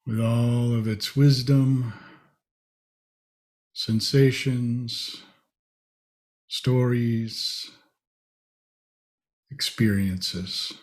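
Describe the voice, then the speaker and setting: A man leading a guided meditation, speaking in short, spacious phrases with long pauses. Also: medium pitch, fairly even intonation, darkish tone.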